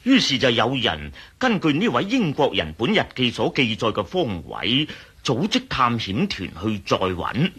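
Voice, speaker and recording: An actor playing a talkative, cautious archaeology professor in a radio drama, with a Hong Kong Cantonese accent and hesitant, muttering delivery. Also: male voice, medium pitch, huge pitch swings, unhurried, few pauses, a bit bright, husky, exaggerated voice. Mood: explaining, musing. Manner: hesitant, mumbling fillers, explanatory.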